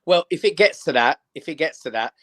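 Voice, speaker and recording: British-born comic, Armenian first language, upbeat chatty guest, slightly high voice. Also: male voice, animated, brisk, fairly bright tone. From Britain. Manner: chatty, upbeat, many fillers. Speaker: guest comedian. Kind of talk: casual chat.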